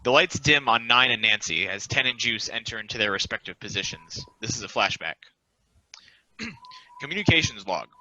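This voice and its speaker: A man's voice: medium pitch, lively intonation, normal speed, bright, forceful tone, muffled recording. A man reading stage directions as scripted narration for a table read.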